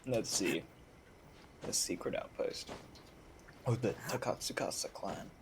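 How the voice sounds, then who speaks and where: A man's voice: on the low side, lively intonation, unhurried, background noise. A man giving casual live gameplay commentary as a streamer, rambling in a jokey, self-deprecating way. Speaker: game streamer.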